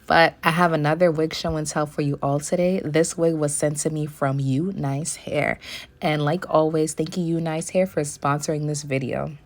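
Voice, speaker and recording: A young woman with a slightly hoarse voice, still recovering, walking through a wig review and install step by step. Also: deep voice, moderate pace. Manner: step-by-step explaining, relaxed. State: hoarse, recovering from losing her voice. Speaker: beauty vlogger reviewing a wig. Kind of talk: product review voice-over.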